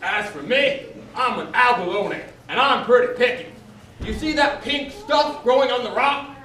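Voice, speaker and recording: A man's voice: very high voice, measured pace, bright, forceful tone, exaggerated voice. A man performing a picky, matter-of-fact little abalone in a live children's puppet show. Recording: echoey hall, distant mic, faint noise.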